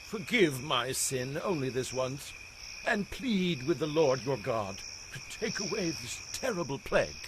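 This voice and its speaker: An actor playing a proud, stubborn, commanding Egyptian pharaoh in a theatrical Bible audio drama. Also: male voice, medium pitch, sing-song, a little slow, background noise. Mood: pleading. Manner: pleading or barking royal commands, theatrical.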